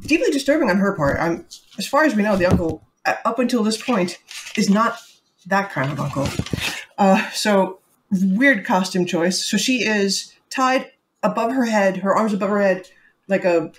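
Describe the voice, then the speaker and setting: A woman's voice: mid-range voice, animated, moderate pace, slight background noise, poor audio. A woman with a mid-range voice co-hosting a casual book podcast. She talks sarcastically and giggles often, drifting into rambling asides. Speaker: podcast co-host. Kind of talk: casual book-discussion chat.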